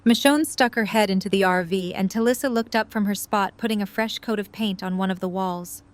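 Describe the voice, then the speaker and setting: A woman's voice: mid-range voice, brisk. A woman reading scripted narration as the narrator of an audio drama.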